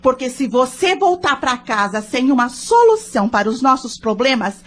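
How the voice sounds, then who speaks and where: A woman's voice: high-pitched, sing-song, normal speed. A woman acting a scolding, indignant wife and mother with a Brazilian accent in an audio soap opera. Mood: bitter, reproachful. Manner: scolding, dramatic, exclamatory. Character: nagging, indignant wife and mother deep in debt.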